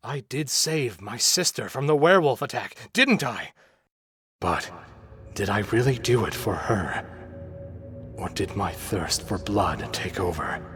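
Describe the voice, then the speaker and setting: A man voicing a tormented, self-loathing young soldier cursed to become a werewolf, in a brooding, halting, melodramatic gothic visual novel style. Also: medium pitch, a bit bright, breathy edge, dry recording, clean studio sound, exaggerated voice. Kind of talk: gothic visual novel voice acting, dramatic monologue.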